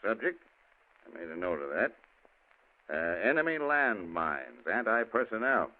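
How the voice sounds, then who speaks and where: An actor playing a fumbling police lieutenant who hesitates and mixes up names. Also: male voice, lowish voice, big pitch swings, unhurried. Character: bumbling male police lieutenant. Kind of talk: 1940s radio acting. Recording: tinny old radio broadcast.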